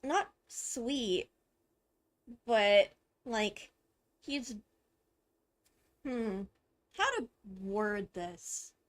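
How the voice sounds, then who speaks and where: A woman gaming streamer giving casual, informal reaction commentary, thinking out loud as she plays. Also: on the high side, lively intonation, drawn-out words, long pauses. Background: video game music.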